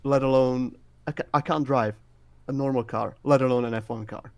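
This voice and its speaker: A man's voice: medium pitch, fairly even intonation, moderate pace, gravelly, darkish tone, no room sound. A man with a Czech accent giving casual answers as an interviewed competitor. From Czech Republic. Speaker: race winner being interviewed.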